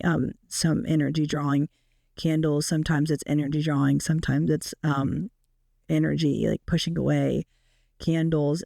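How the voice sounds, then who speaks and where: A woman in her twenties hosting a casual solo podcast, her naturally raspy, deep voice made hoarse by a recent illness, chatting with frequent fillers. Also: darkish tone, dry recording, studio quality. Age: 20s. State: sick, hoarse. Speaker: podcast host.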